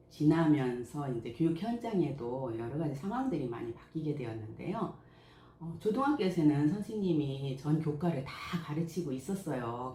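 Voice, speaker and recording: A woman in her sixties, a school principal and picture book author, answering interview questions in a slow, modest, measured way. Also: rumbling low, dark tone. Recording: echoey room, faint background noise.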